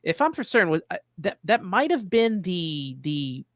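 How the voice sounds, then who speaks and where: A man's voice: sits high, very animated, normal speed, a bit bright, no room sound. Dry-sounding man hosting a sports chat. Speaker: podcast host. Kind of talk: casual sports interview chat.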